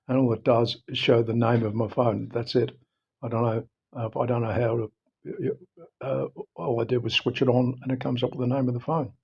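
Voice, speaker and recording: A man with an Australian accent giving an informal, unscripted how-to talk in a halting, repetitive, explanatory way, recorded a little dull on a device microphone. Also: mid-range voice, vocal fry, a little mellow. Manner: halting, explanatory, often repeating himself. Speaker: presenter of a phone how-to video.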